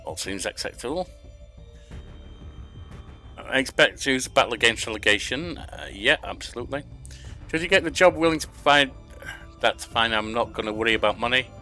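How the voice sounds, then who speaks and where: Older man, bright voice, game commentary. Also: mid-range voice, expressive, normal speed, long pauses, soft background music. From England. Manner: reads interview questions aloud and answers them himself. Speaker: gaming YouTuber. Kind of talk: casual let's-play commentary. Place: home room at a desk.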